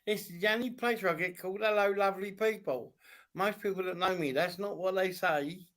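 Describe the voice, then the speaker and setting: A man's voice: high voice, fairly quick, fairly bright tone. A man originally from Turkey, a medium and spiritual teacher, chatting in a friendly, curious way and laughing often. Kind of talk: casual live-stream chat.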